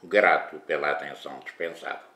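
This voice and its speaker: A man's voice: very deep voice, a little fast, sharp tone. A man in his sixties hosting a community TV show, speaking formally and measuredly with hesitations at a table in a living room. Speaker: community TV host. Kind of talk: formal talk to camera. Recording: echoey room.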